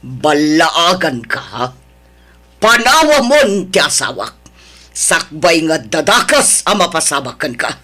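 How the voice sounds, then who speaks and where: A woman's voice: sits low, big pitch swings, sharp tone, exaggerated voice. A woman with an Ilocano accent playing a wronged wife who scolds and accuses her husband's mistress. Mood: accusing. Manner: scolding, accusing, slowly emphatic. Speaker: voice actor in an audio drama. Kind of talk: Ilocano audio drama acting.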